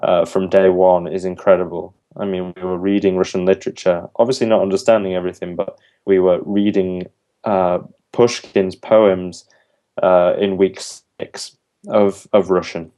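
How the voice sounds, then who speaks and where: Low, flat-voiced young man. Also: moderate pace. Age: young adult. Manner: thoughtful, earnest, with frequent ums and restarts. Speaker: interviewee, university language student. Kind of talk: casual interview answers.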